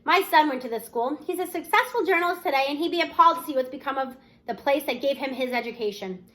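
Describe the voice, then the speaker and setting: Woman voicing a haughty, scolding old schoolteacher, high-pitched. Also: expressive, fast talker, a bit bright. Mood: indignant, scolding. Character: indignant, scolding old female substitute teacher.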